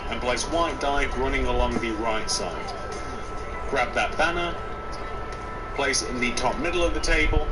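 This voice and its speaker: Man giving steps under loud music. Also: mid-range voice, recorded from a distance. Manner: repetitive instructive listing. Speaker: building tutorial host. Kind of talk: step-by-step game build tutorial.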